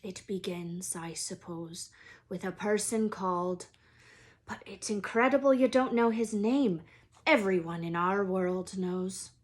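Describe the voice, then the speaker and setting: A woman voicing a huge, gruff but good-hearted wizard man in a storybook, emotional and anxious, with folksy, halting speech and dropped word endings. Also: medium pitch, lightly acted. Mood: worried, hesitant.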